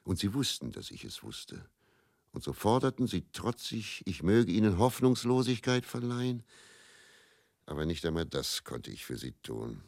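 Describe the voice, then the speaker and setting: A bitter old-school travelling quack healer with an extremely deep voice, recorded close and dry. He broods and muses in theatrical radio play monologue, repeating words as he goes. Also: huge pitch swings, moderate pace, vocal fry, a little mellow, no room sound, full character voice. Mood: haunted, intense. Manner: brooding, musing, repeats words, theatrical shifts between murmur and outburst. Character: bitter, world-weary travelling faith healer, self-confessed quack.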